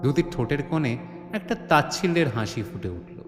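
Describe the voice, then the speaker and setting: A man's voice: mid-range voice, sing-song, a little fast, over music. Male story narrator in heavy reverb. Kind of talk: scripted dramatic story narration. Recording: echoey reverb on the voice.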